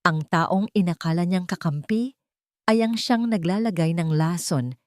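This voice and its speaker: A woman's voice: on the low side, moderate pace, darkish tone. A woman reading a scripted romance story as narrator, with dramatic storytelling delivery.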